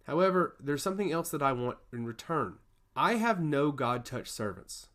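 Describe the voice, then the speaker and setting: A man voicing a solemn, curious goddess of law who speaks in an earnest, measured and formal way in a fantasy story. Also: fairly high pitch, big pitch swings, a bit bright. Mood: earnest, grave.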